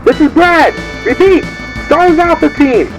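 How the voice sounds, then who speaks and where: A man playing a frantic helicopter pilot in an audio drama, shouting urgent short radio calls. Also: very high voice, lively intonation, a little slow, over music, pitched above the performer's own voice, exaggerated voice, rough recording. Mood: panicked, desperate.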